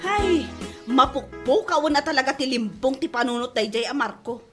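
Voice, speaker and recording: Woman as an exasperated gossip, high-pitched, Ilocano accent, complaining, scornful, radio comedy drama. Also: normal speed, loud music behind the voice, natural voice. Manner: complaining, incredulous.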